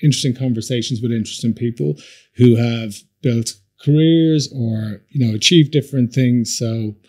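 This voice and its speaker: A man's voice: mid-range voice, normal speed, darkish tone. A man hosting a podcast, reading a scripted intro and then interviewing a guest in a friendly, encouraging way. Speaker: podcast host.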